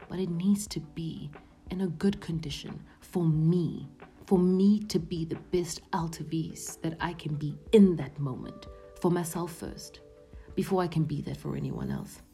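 A woman's voice: lowish voice, mellow. A woman in her thirties hosting a podcast, speaking in an earnest, reflective monologue that often sinks to a near-whisper. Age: 30s. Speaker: podcast host.

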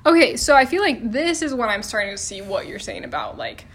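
A woman's voice: on the high side, huge pitch swings, fairly quick, fairly bright tone. A woman co-hosting a casual chat, talking things through in an easy, thinking-aloud way. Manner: chatty, reads lyrics aloud and muses on them. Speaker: podcast co-host.

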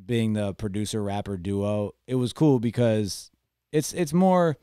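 A young man hosting a casual podcast. He talks things through thoughtfully, weighing ideas out loud. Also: lowish voice, measured pace, smooth, no room sound, studio quality. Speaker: podcast host.

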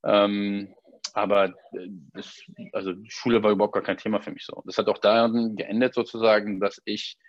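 A man's voice: fairly low pitch, level tone, normal speed, dry recording. A man, casually telling personal stories and often checking in with a tag question. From Berlin, Germany. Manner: conversational, often tagging statements with a questioning "ne". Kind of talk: casual personal storytelling in conversation. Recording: dull, dry lo-fi recording.

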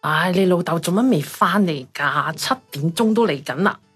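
A woman's voice: fairly low pitch, animated, normal speed, a bit bright, slightly rough, soft background music. A woman acting a vain, chatty, nagging middle-aged mother in a radio drama, with a Hong Kong Cantonese accent. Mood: irritated nagging.

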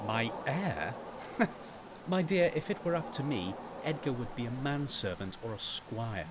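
A man's voice: medium pitch, animated, unhurried, natural voice. Cold, pompous man playing a king, noisy recording. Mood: contemptuous. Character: stern, contemptuous king and disappointed father. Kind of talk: amateur fantasy audio drama acting. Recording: noticeable background noise.

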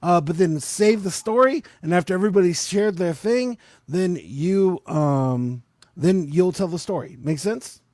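A man's voice: high voice, measured pace, darkish tone, dry recording, clean studio sound. A man with a scratchy voice hosting a casual live-stream chat, talking with lots of fillers and laughs. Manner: chatty, laughs often, many fillers. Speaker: podcast host.

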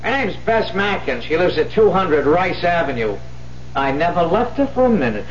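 An actor playing a cocky, defensive American small-time crook in a 1970s radio drama, streetwise and indignant. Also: male voice, on the high side, big pitch swings, normal speed. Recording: muffled, hissy 1970s radio recording with hum.

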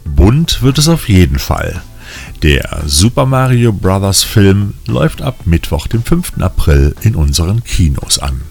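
A man's voice: low-pitched, big pitch swings, unhurried, creaky, darkish tone, breathy edge. A man hosting a film news podcast, reading scripted previews of new releases. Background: faint music.

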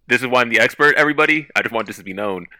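A man's voice: mid-range voice, animated, rapid-fire, sharp tone. A man co-hosting a music podcast, teasing and playful, laughing often. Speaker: podcast co-host. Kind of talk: casual music podcast chat.

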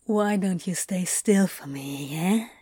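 A woman's voice: lowish voice, lively intonation, slow, dark tone, husky, full character voice. A woman voicing an amorous, urgently eager male lover in a fan fiction audio drama. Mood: seductive, coaxing. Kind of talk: multivoice podfic character acting.

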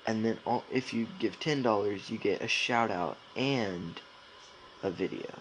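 A man's voice: lowish voice, unhurried, slight background noise, low-quality recording. A young man co-hosting a casual podcast, rambling along in informal slang with lots of filler words. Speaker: podcast co-host.

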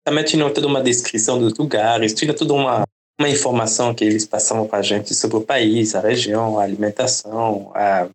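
A man from the Democratic Republic of the Congo, a native French speaker, explaining at length in a relaxed conversational interview. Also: on the low side, brisk. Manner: relaxed, explanatory, often adds "né?" and "entende?". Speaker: podcast guest.

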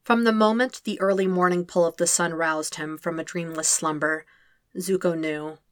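A woman reading a fanfiction story aloud in a soft, subdued, measured way. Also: fairly low pitch, steady tone, no room sound, clean studio sound. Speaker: fanfiction reader. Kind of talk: scripted narration of a podfic.

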